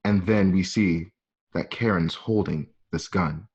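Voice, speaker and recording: A man's voice: low-pitched, measured pace, darkish tone. Male narrator reading scene directions, slightly muffled. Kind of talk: reading screen directions for a radio drama. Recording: dull lo-fi home recording.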